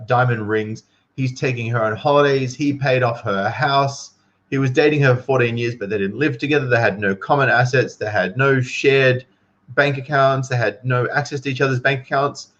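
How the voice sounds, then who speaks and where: A man's voice: mid-range voice, a bit bright, highs cut off. A man giving casual, opinionated commentary to livestream viewers in an incredulous, emphatic, slangy manner.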